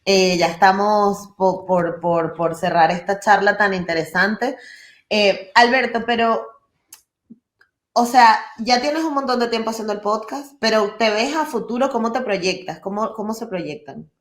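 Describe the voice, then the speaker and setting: A woman's voice: medium pitch, measured pace. Woman moderator in an echoey room. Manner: brief agreeing interjections. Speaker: interviewer. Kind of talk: moderating a live podcast talk.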